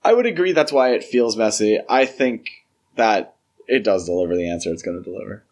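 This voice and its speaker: A man's voice: lowish voice, lively intonation, brisk, a little mellow. A man co-hosting a podcast in casual film discussion, talking conversationally and peppering sentences with "like" and "you know". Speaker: podcast co-host.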